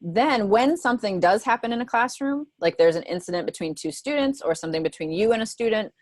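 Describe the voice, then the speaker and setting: A woman's voice: fairly high pitch, expressive, fairly quick, dry recording, clean studio sound. A woman presenting to teachers on a mentoring video call, talking conversationally with frequent "um" and "right?" asides.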